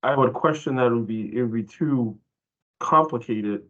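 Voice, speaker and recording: A man in a casual work meeting, speaking haltingly and in a questioning way as he reasons through his point. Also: medium pitch, a bit bright. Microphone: online meeting call. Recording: dull, lo-fi online meeting audio.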